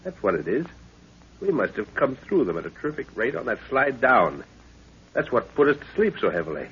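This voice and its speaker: An eager scientist explorer and father in a 1930s radio adventure. His very husky voice swoops with excitement and commands with authority, on a muffled, scratchy old broadcast recording. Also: mid-range voice, brisk, heavily acted. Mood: excited wonder.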